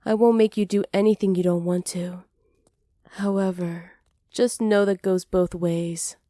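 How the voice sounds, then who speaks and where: A woman playing a flirty, hospitable witch in an ASMR roleplay, soft-spoken and teasing, sly and self-assured, sometimes dropping toward a whisper. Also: fairly low pitch, steady tone, a little slow, no echo, clean studio sound, natural voice. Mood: flattering, reassuring. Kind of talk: ASMR roleplay, slow scripted acting.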